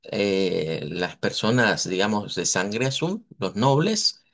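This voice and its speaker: A man teaching a live online language class. He explains at length, models sounds slowly and often checks in with his students. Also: lowish voice, sing-song, a bit bright. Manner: checks in with students, asks "verdad".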